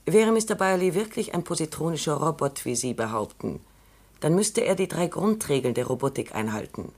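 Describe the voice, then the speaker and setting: A woman's voice: deep voice, sing-song, a little fast, a little mellow, breathy edge, heavily acted. A cool, precise lady scientist. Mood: lecturing. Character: cool, precise female robot psychologist. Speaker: robot psychologist Dr. Calvin. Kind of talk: radio drama acting.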